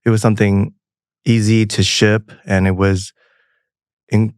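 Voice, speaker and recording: A soft-spoken man in his forties from Taiwan, a company founder chatting as a podcast guest with many fillers. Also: on the low side, little pitch movement, a little slow, clear, resonant tone, no room sound, studio quality. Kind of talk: casual interview chat.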